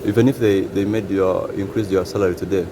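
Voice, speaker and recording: A man from Congo who grew up in Uganda, a bus mechanic answering questions in a halting way, often restarting and adding "you know". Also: sits low, fairly even intonation, background noise. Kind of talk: casual interview answers. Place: large vehicle workshop.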